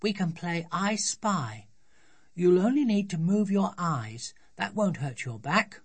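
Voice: mid-range voice, expressive, slow, husky, voice pushed up, exaggerated voice. An adult storybook reader voicing a blunt, defiant, bossy little girl. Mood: curious, concerned. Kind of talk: children's storybook reading.

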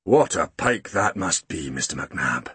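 Man putting on a dry sly scottish old fella, talks slow and solemn, lively lilt. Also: low-pitched, breathy edge, dry recording, voice pushed down, heavily acted. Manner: measured, dry, quietly persuasive. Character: shrewd older Scottish countryman, calm and solemn.

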